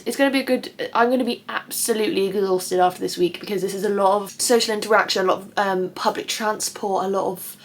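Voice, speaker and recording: A young English woman in her twenties chatting casually to camera in her bedroom, rambling and swearing with a self-described whiny voice. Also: medium pitch. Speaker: vlogger. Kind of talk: casual vlog chat. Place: bedroom at home.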